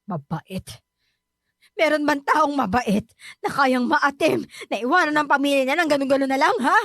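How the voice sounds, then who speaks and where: High-voiced, wounded Filipino mother. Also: moderate pace, a bit bright, no echo, studio quality. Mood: bitter. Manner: emotional, exasperated, melodramatic. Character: bitter, wounded middle-aged mother abandoned by her husband. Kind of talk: Filipino radio drama acting.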